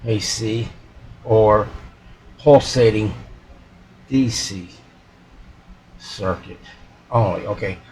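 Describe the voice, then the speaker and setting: A man's voice: fairly low pitch, extremely slow, pauses often, darkish tone, slight background noise, rough recording. A man giving casual, unscripted commentary as a repair hobbyist, folksy and muttering to himself.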